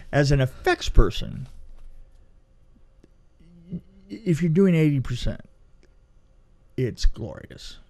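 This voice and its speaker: Male podcast co-host, voice on the higher side, casual and animated raconteur, repeats himself for emphasis. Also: extremely slow, long pauses, breathy edge. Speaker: podcast co-host and film production designer. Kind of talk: casual movie review chat and anecdotes.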